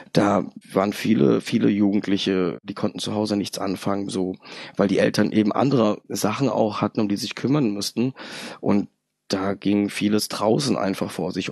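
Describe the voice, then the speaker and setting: Low gravelly man in his thirties. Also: darkish tone, no echo, clean studio sound. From Germany. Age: 30s. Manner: reflective, often adds tag questions. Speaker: podcast guest. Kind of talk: personal story in an interview.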